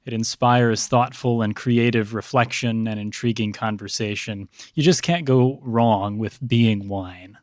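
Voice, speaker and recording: A man's voice: lowish voice, a little slow, hardly any pauses, a little mellow, no room sound, studio quality. A man speaking as a priest guest on a podcast, answering thoughtfully in an articulate, bookish manner.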